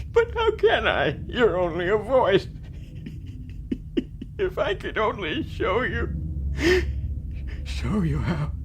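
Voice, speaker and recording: Gravelly, haunted, lonely old man. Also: high voice, full character voice. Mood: anguished pleading. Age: 80s, as played. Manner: halting, haunted, swinging from murmurs to outbursts. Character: lonely, anguished eighty-year-old man stranded alone on Mars. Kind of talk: dramatic radio acting, reminiscing monologue. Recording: noisy radio drama recording.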